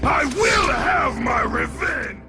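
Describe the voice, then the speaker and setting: A man's voice: very high-pitched, big pitch swings, slow, vocal fry, heavily acted. A man playing an enraged villain sworn to revenge in a dramatic fantasy style. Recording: loud music over the voice.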